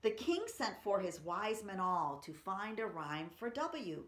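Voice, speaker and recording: A woman's voice: medium pitch, sing-song, slow pace. A woman reading poetry aloud for children and chatting between poems, explaining simply and reading expressively. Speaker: library story-time reader. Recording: roomy, echoey room sound.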